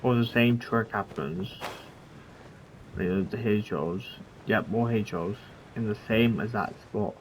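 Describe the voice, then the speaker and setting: A man's voice: fairly low pitch, takes it slowly, slight background noise, poor audio. A man giving casual, offhand commentary as he plays a video game. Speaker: streamer playing a game. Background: faint game music.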